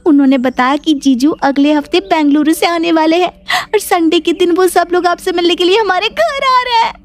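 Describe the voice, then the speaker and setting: A woman voicing an excitable, teasing young woman with a playful, gushing manner in a cartoon story. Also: extremely high pitch, moderate pace, pitched above the performer's own voice. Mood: giggly, excited.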